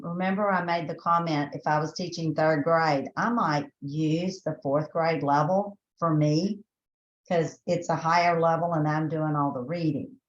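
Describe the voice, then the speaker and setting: A woman's voice: lowish voice, echoey room, muffled recording. A woman from Texas with a Southern accent, presenting a teacher training webinar in a chatty way, often restarting her sentences. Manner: chatty, thinks aloud with restarts and fillers.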